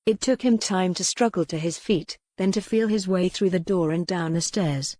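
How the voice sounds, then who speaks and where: A woman narrates a historical romance novel. Her voice sits a little low and is notably mellow and relaxed in tone. The recording is very dry, as an audiobook read. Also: moderate pace, no room sound.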